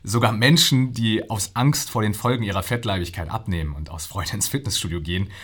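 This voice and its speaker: An earnest male scientist with a low, husky voice, presenting his vision in an audio drama interview. He speaks deliberately, builds rhetorical questions and leans on emphatic pauses. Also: a bit bright. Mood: persuasive, visionary.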